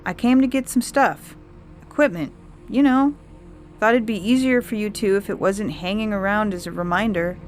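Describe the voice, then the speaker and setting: A woman voicing a gentle, avoidant young man in an audio fiction story. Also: medium pitch, normal speed, faint music behind, voice pushed up, close to an everyday voice. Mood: wistful.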